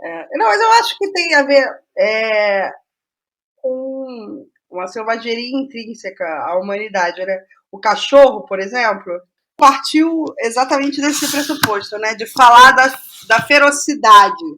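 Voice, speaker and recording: High-voiced Brazilian woman, thinking aloud. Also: expressive, pushed and bright. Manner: halting, repeats phrases while thinking aloud. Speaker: guest playwright. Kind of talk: casual interview conversation.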